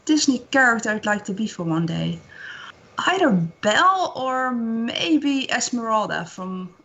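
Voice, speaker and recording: Bright-voiced woman, friendly. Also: on the high side, big pitch swings, measured pace, dull audio. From Netherlands. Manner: friendly, brief answers, light laughs. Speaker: quiz contestant.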